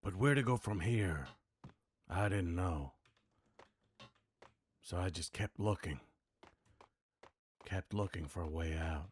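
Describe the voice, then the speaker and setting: A man's voice: deep voice, takes it slowly, long pauses, slight background noise. A man voicing a weary, grim hardboiled noir gunman who narrates his own story in terse, brooding lines, as in a video game. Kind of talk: noir game monologue, voice acting practice.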